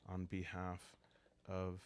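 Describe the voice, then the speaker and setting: A man voicing a proud medieval crusader who recounts his life in a solemn, measured way for a sleepy roleplay. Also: low-pitched, monotone, clean tone.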